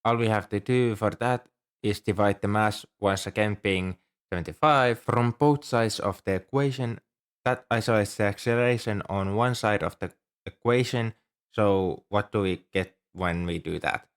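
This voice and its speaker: A man plays a shy, bookish young student librarian in an ASMR roleplay. His voice is on the low side, and he talks hesitantly, with earnest helpfulness and a readiness to apologize. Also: normal speed, clear, resonant tone, natural voice. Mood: patient, helpful. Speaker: amateur audio roleplay performer. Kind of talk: ASMR roleplay, scripted one-sided dialogue.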